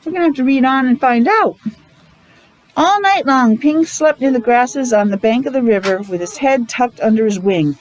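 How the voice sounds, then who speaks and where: Higher-pitched woman reading to children. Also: animated, moderate pace, poor audio. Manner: child-directed, asking questions, repeating words for emphasis. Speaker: teacher reading a picture book to children. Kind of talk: animated read-aloud with casual explanatory asides.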